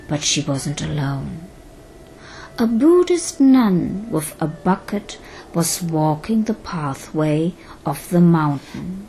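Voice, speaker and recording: Very slow-paced woman storyteller, noisy background. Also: fairly low pitch, a little mellow. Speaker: storyteller and narrator. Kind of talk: scripted audio drama narration.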